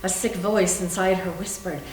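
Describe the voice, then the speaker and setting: A woman's voice: fairly low pitch, steady tone, moderate pace. A woman reading scripted story narration in a readers theater performance. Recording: roomy echo, faint hum.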